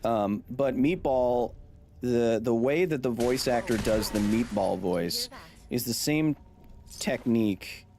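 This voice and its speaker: A man doing live gameplay commentary, goofy and excitable, who shouts at the game. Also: medium pitch, slow, a little hiss or hum. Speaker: streamer.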